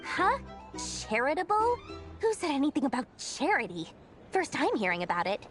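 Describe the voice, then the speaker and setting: A woman's voice: high voice, wildly swooping pitch, moderate pace, a bit bright, soft background music, exaggerated voice. A woman voicing a brash, proud, money-loving merchant lord with a secretly kind streak, theatrical and easily flustered, in a video game. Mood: indignant, flustered.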